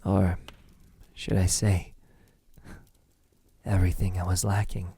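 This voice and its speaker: A man playing a centuries-old vampire, lovesick and possessive, in a soft, intimate ASMR roleplay with halting, tender delivery that often drops to a whisper. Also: low voice, flat delivery, full character voice. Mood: longing, possessive. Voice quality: breathy, soft, husky. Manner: slow, hesitant, halting, tender.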